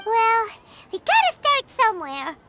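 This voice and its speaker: A woman voicing a tiny, excitable, childlike fairy companion in an anime video game, squeaky and exclamatory. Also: a little slow, soft background music, exaggerated voice. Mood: weary. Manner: exclamatory, speaks of self in third person.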